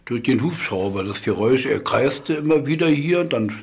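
A man's voice: mid-range voice, lively intonation, normal speed, creaky. An older man around seventy giving a spontaneous, colloquial interview recollection. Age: older, about 70. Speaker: interviewee, former village mayor. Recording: faint noise and clicks.

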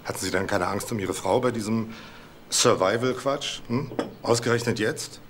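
Actor as terse low-voiced male detective. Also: normal speed, noisy background, natural voice. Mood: probing. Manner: terse, probing. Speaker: actor playing a police detective. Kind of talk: German TV crime drama dialogue.

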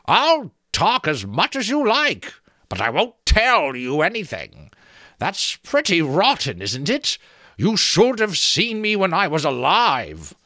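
A man voicing a spiteful undead skull with a creaking, rattling voice and slow, teasing delivery, close to the mic. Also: high-pitched, wildly swooping pitch, bright, forceful tone, dry recording, pitched above the performer's own voice, full character voice. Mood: gloating.

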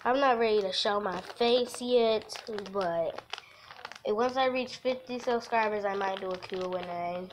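A woman's voice: mid-range voice, unhurried, slight background noise. A giggly woman hosting a casual game livestream, chatting and reading aloud from a visual novel. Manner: giggly, breaks off into laughter. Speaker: livestream host.

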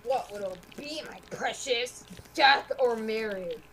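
A woman's voice: fairly high pitch, slow pace, fairly bright tone, a little hiss or hum, rough recording. A woman voicing a menacing, possessive young vampire suitor with a gloating, coaxing manner in an amateur game roleplay.

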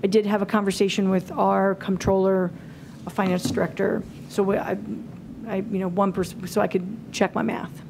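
A woman's voice: medium pitch, level tone, normal speed, creaky, background noise. Woman leading a town meeting. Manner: thinking aloud with many fillers and restarts. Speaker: committee leader presenting a scoring rubric. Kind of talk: public meeting presentation. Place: town hall auditorium. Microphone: table microphone.

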